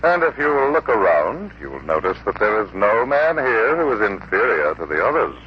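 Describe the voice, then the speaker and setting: A muffled, hissy 1940s radio recording carries a self-important man of standing. His delivery is pompous and theatrical, his pitch swinging widely as he wheedles and puffs himself up. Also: very animated, normal speed. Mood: smug, sly. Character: pompous self-important male farm overseer and host of guests. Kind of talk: 1940s radio drama acting.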